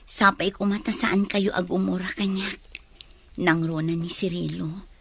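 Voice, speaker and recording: A woman's voice: lowish voice, fairly even intonation, a little slow, gravelly, a little hiss or hum. A woman acting a humbled, remorseful young woman with an Ilocano Filipino accent in a radio drama. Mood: ashamed, grateful.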